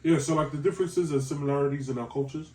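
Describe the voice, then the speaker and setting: A man's voice: fairly high pitch, fairly even intonation, fast talker, mellow. A young man from New Zealand with a New Zealand accent, playfully joking and ribbing his wife in casual banter. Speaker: co-host of couple food channel. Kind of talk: casual banter between partners. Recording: echoey room, mic at a distance.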